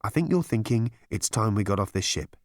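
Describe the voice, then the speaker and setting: A man voicing a calm male traveller who speaks dryly and matter-of-factly. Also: fairly low pitch, brisk, no echo, clean studio sound, lightly acted.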